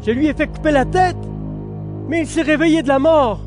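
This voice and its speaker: Very high male voice, alarmed guilt-haunted king, audio Bible drama, exclaiming, music behind. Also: fairly quick.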